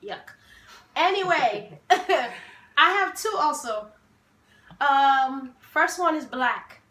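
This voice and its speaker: Bright, unhurried playful woman in her twenties. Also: high voice, expressive, reverberant. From Haiti. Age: 20s. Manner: playful, self-assured, laughs often. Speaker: vlogger host. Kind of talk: casual sibling Q&A chat.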